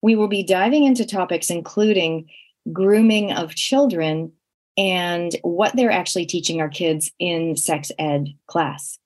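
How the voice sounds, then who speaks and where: Woman, Canadian accent, radio host, lowish voice, earnest and chatty. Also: measured pace, darkish tone. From New Zealand. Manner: earnest, chatty, with frequent restarts. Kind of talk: radio show hosting and interviewing.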